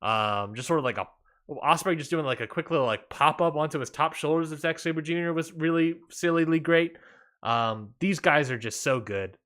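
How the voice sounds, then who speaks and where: A man's voice: fairly high pitch, normal speed, a bit bright. A man hosting a wrestling podcast, recapping matches in an enthusiastic, rambling way. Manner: enthusiastic, rambling with fillers and restarts. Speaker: podcast host.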